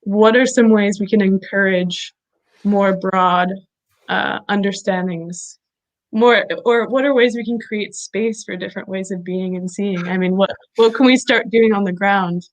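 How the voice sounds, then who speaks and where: A woman hosting a conversational interview, speaking haltingly with many fillers and restarts. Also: medium pitch, steady tone, low-quality recording. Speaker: interview host.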